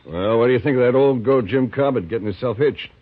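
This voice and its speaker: A man's voice: medium pitch, fast talker, slight background noise, natural voice. An actor playing a gossipy, insinuating frontier townsman in a 1950s radio drama. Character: sly, gossiping frontier townsman, adult man. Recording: thin, phone-like 1950s radio broadcast.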